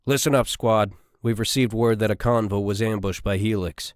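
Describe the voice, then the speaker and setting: A man's voice: lowish voice, fairly even intonation, normal speed, dry recording, studio quality, natural voice. A man voicing a stern, broad army sergeant giving orders in an audiobook. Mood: urgent, commanding. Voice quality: stern, commanding.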